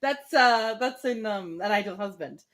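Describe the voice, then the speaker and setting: A woman's voice: mid-range voice, animated, normal speed, a bit bright. A woman reading a classic novel aloud expressively, breaking off for casual, chatty asides. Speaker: live book reader.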